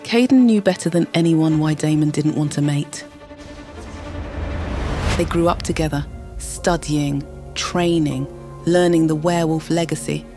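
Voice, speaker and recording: A woman with a low voice tells a story in dramatic, measured narration. Clear music plays under her the whole way. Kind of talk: scripted audio drama narration.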